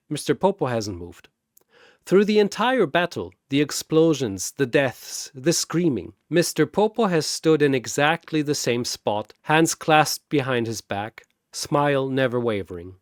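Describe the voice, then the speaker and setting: A man's voice: on the high side, dry recording, clean studio sound. A man narrating a scripted battle story and announcing its fighters in a dramatic style.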